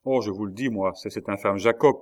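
A man's voice: on the low side, a little fast, darkish tone, close to an everyday voice. Man acting a desperate, raging prisoner. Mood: urgent resolve. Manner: impassioned, vehement, despairing. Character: desperate, raging male prisoner, passionate tulip grower.

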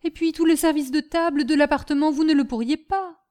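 A woman voicing a dramatic, fretful mother and lady of the house in a storybook, plaintive and high-strung. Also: steady tone, brisk, a little mellow, natural voice. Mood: worried, kindly.

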